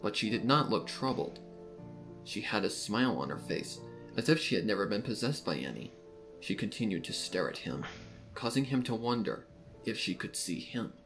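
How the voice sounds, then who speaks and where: A man narrates a story dramatically in a very low voice. His pitch is extremely animated, and music plays clearly behind the reading. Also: normal speed, poor audio. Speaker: narrator, fan fiction reader. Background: background music.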